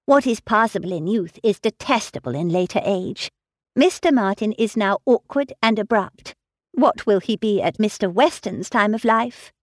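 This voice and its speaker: Woman playing a condescending young lady in an audiobook, crisp and lively, dry close sound. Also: medium pitch, moderate pace, dry recording, natural voice. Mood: lecturing. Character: self-assured, snobbish young gentlewoman, lecturing.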